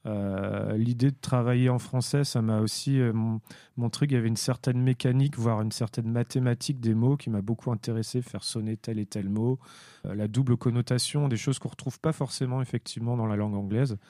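A man with a mellow, warm tone speaks as a musician being interviewed. He is thoughtful and a little hesitant, often pausing to search for words. The recording is close and dry. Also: medium pitch, steady tone, dry recording. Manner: thoughtful, hesitant with many fillers. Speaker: musician guest. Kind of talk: radio interview answers.

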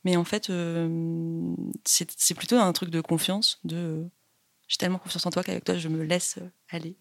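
A woman's voice: on the low side, little pitch movement, moderate pace, gravelly, dry recording. Woman songwriter chatting, lots of ums and little laughs, dry close sound. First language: Spanish. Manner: hesitant, many fillers, laughs often. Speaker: singer-songwriter guest. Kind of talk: interview answers.

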